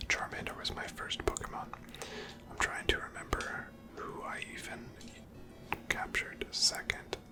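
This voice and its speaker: A person whispering ASMR gameplay commentary in a breathy, unvoiced voice, gently musing aloud. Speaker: video game streamer narrating own playthrough.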